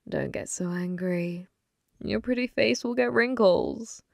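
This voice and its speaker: A woman voicing a flirty, possessive female demon gambler in an ASMR roleplay, breathy and often whispering, teasing with sweet menace. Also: mid-range voice, no echo, clean studio sound. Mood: smug, flirty. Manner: slow, teasing, calls listener love. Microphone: close microphone, dry.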